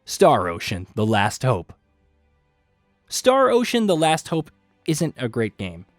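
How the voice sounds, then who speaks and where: A man reading scripted video game list narration with an emphatic, punchy delivery and dramatic pauses. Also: medium pitch, huge pitch swings, no echo, studio quality. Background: background music.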